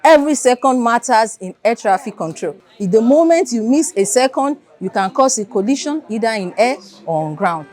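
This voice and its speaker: A woman's voice: fairly high pitch, lively intonation, normal speed, darkish tone. A woman, an air traffic controller, talking about her job in an interview. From Nigeria. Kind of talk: interview answer.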